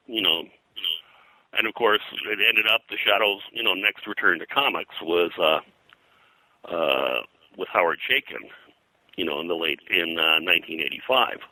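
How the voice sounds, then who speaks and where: An older man reminiscing as an interview guest in a halting, digressive way, often clearing his throat. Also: fairly low pitch, pushed and bright, no echo, poor audio. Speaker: interview guest, comics historian.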